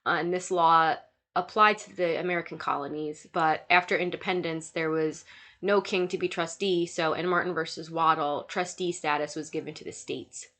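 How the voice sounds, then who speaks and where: A woman's voice: low-pitched, fairly even intonation, moderate pace, fairly bright tone. A young woman with a cold and a nasal, stuffy voice, giving a recorded slide lecture and reading through lists of points with frequent fillers. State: sick, nasal congestion. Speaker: student lecturer.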